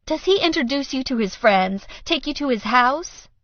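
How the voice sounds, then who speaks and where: Brief: woman playing a fragile, weepy mother, high strained pleading, audiobook. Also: expressive, moderate pace, no echo, lo-fi sound. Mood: bitter, pressing. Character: tearful, overdramatic middle-aged mother.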